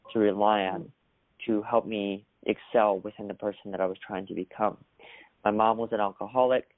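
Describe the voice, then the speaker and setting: A young man from Russia, a podcast guest telling his story in a measured, earnest way. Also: fairly low pitch, no echo. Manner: measured, earnest, occasional restarts. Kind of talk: reflective storytelling. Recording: dry, phone-like narrow sound.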